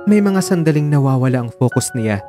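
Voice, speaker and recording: Male narrator for scripted audio drama: soft-toned, mid-pitched, with music beneath. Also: animated, brisk. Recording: voice over background music.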